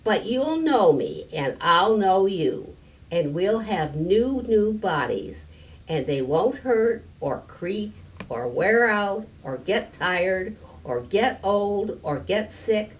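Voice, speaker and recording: An older woman voicing a gentle, very old storybook grandfather who explains things patiently and reassuringly. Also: medium pitch, very animated, slow, distant mic, rough recording.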